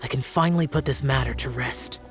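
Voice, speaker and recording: A man voicing a stoic, sorrowful immortal guardian warrior in a video game, speaking in a grave, formal, measured and reflective way. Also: medium pitch, vocal fry, music in the background, close to an everyday voice. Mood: solemn. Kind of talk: dramatic video game dialogue acting.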